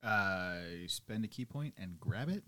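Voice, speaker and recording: A man's voice: on the low side, expressive, unhurried, pushed and bright. A man playing a tabletop game, chatting casually as a player.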